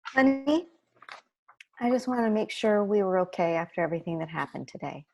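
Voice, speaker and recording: Woman reading caring mom, gravelly, gentle and quick. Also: mid-range voice, big pitch swings, a little hiss or hum. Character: caring mother checking on daughter.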